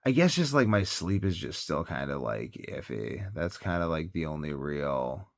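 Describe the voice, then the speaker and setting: A man's voice: a bass voice, sing-song, normal speed, vocal fry, dry recording, studio quality. A tired man talking in a casual, rambling monologue as a health vlogger, hesitant and thinking aloud, often trailing off.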